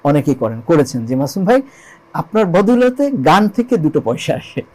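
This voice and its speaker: A high-voiced man with a Bangladeshi accent answers as an interview guest. He speaks earnestly and emphatically, repeating key phrases and posing rhetorical questions, with English words mixed in. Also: big pitch swings, moderate pace, a little mellow. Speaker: interview guest, songwriter and composer.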